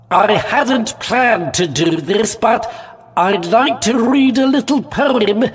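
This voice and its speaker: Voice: mid-range voice, big-room sound. A performer playing a dim, doddering, sentimental alien uncle with a hesitant, stammering delivery in a comic audio drama. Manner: hesitant, bumbling, stammering ums. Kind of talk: full-cast comic audio drama acting.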